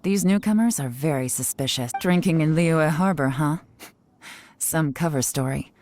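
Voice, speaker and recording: A woman voicing a shrewd, composed investigator in an anime game, deliberate and self-assured. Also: deep voice, darkish tone, slight background noise. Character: cool, shrewd woman investigator leading a covert inquiry. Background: game music.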